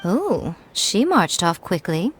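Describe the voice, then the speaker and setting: A woman's voice: lowish voice, big pitch swings, slow pace, a little hiss or hum. A woman playing a sly, elegant shrine priestess in a video game, coy, teasing and sure of herself. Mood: playful. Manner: coy, teasing, self-assured. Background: music.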